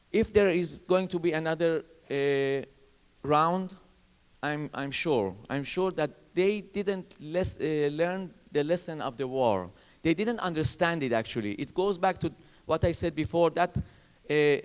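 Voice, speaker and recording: Man, panel speaker, emphatic and halting, English, long hall reverb. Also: a little high, clean tone. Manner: emphatic, repetitive, halting phrasing. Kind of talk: panel discussion.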